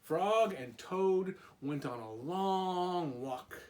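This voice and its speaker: A man's voice: high voice, lively intonation, very slow, darkish tone, a little hiss or hum. A man reading a storybook aloud to young children like a teacher, in simple phrases with small asides. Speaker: preschool and kindergarten teacher.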